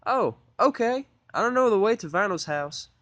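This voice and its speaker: A man's voice: sits high, lively intonation, normal speed, fairly bright tone, dry recording, pitched above the performer's own voice. A man voicing an eager, earnest young female pony with folksy country phrasing in an audio fan-fiction reading. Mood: curious.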